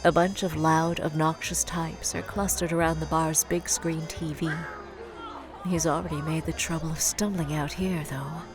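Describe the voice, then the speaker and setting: A grave, brooding storyteller narrates an audio drama in a lowish female voice. The scripted lines are dramatic, with clear music underneath.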